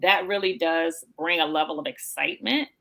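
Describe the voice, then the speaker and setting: A woman's voice: sits low, moderate pace, creaky, pushed and bright. A woman hosting a podcast in casual interview chat, with an encouraging, enthusiastic manner.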